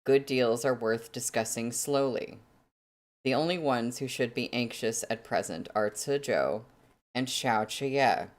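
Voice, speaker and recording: A reader as a composed, slow-spoken male negotiator. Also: medium pitch, lightly acted. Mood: calm, calculating.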